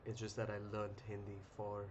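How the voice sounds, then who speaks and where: A young man hosting a live trading stream, chatting casually with viewers in a relaxed, rambling way with many ums and restarts. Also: lowish voice, normal speed. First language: English. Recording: muffled lo-fi audio with clear noise.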